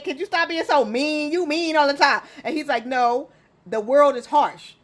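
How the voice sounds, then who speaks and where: An animated woman in her forties hosts a relaxed talk show, her voice sitting a touch high. She tells stories with gusto, acting out the people she quotes, and laughs often. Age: 40s. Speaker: host. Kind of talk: casual chat on relationships.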